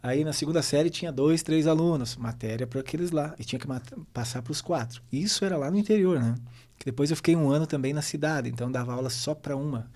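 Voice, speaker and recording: A middle-aged man from western Santa Catarina, telling his life story as a guest in a relaxed podcast chat. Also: on the high side, fast. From western Santa Catarina, Brazil. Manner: relaxed storytelling, frequent tag questions. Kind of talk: casual podcast conversation.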